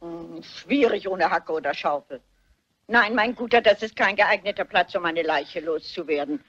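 An older woman playing a shrewd, stubborn elderly amateur sleuth in a German crime radio play, husky and briskly self-assured. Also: on the low side, fairly bright tone, lo-fi sound. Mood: bossy, eager.

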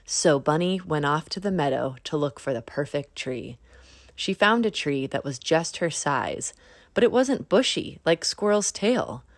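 A woman narrating a children's picture book in a measured read-aloud manner. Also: low-pitched, expressive, no echo, clean studio sound. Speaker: storybook narrator. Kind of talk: scripted children's story narration.

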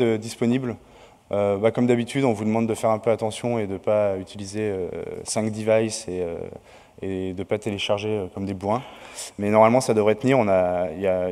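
A man's voice: lowish voice, darkish tone. A man organizing a conference, giving opening announcements from a podium with many hesitations. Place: large lecture hall. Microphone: podium mic. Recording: reverberant hall.

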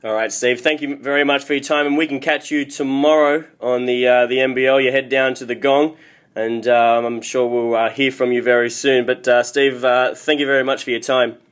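A man hosting a sports interview, speaking haltingly and repeating words as he puts his questions. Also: medium pitch, flat delivery. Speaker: sports radio host and interviewer. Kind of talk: broadcast interview.

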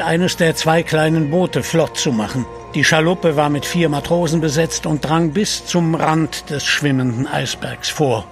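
A man narrating an adventure audio drama from a script. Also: fairly high pitch.

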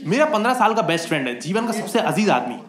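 A young man from India performing a stand-up comedy set on a club stage, telling stories in an animated, mock-exasperated way into a handheld mic. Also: high-pitched, quick pace. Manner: animated, conversational storytelling with mock-exasperated asides. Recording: roomy club sound with loud crowd laughter.

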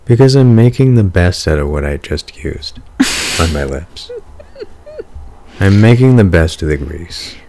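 A man's voice: low voice, animated, measured pace, mellow, slight background noise. A man co-hosting a podcast in casual, flirty ASMR chat, teasing and joking, close to the microphone. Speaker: podcast co-host.

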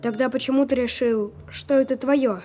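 A woman voicing a skeptical, sharp-tongued sick young boy in an audio drama, precocious and needling. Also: sits high, fairly even intonation, normal speed, close to an everyday voice.

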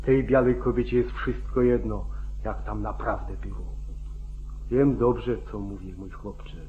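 A man's voice: on the low side, fairly even intonation, unhurried, lightly acted. A man playing a wary, weary older friend in an old radio drama, giving blunt warnings with stern urgency. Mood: grave, bitter. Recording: dull, band-limited 1940s radio recording.

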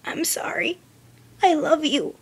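A woman's voice: high voice, big pitch swings, slow pace, voice pushed up, heavily acted. A woman voicing a brash, emotional female pegasus pony with urgent, faltering delivery in a story reading. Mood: grieving.

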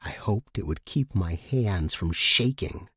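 A man's voice: low voice, lively intonation, a little slow, sharp tone, husky, no echo, clean studio sound. A man reading scripted first-person fiction as a story narrator.

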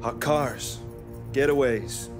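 A man's voice: medium pitch, slow, natural voice. A man playing an easygoing, cocky underworld car mechanic in a video game cutscene. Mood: admiring, salesmanlike. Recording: music behind the voice.

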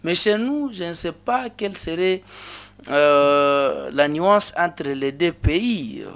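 A man's voice: a little high, expressive, slow, a bit bright, clear, resonant tone. A man in his thirties with a Congolese French accent, an interview guest in a small studio, speaking earnestly at a desk microphone with many restarts. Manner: earnest, with frequent restarts and hesitations. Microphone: desk microphone on a boom arm.

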